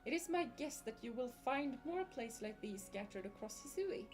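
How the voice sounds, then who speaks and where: A gushing, old-fashioned professor, as a woman puts him on, speaks in a patchy attempt at a Scottish accent. His voice sits fairly high and rings with formal, theatrical enthusiasm. Faint game music plays underneath. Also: animated, normal speed, close to an everyday voice. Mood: excited wonder. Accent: put-on Scottish attempt.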